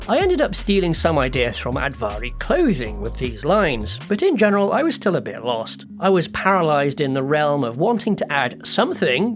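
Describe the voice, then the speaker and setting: Male hobbyist narrator, fairly high and very animated in pitch, conversational scripted read with wry asides. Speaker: hobbyist 3D artist narrating a making-of video. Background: music.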